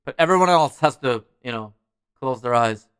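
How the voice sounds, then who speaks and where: A man's voice: mid-range voice, lively intonation, moderate pace, fairly bright tone, smooth, no room sound. A man hosting a music show, chatting casually and ramblingly as he answers messages from viewers. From Norway. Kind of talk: casual livestream chat.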